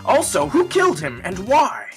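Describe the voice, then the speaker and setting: Performer doing a bright, excitable pompous male judge. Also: medium pitch, moderate pace, faint music behind. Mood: official, solemn. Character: flustered, excitable male courtroom judge, pompous and easily shocked. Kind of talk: amateur anime-game fandub acting.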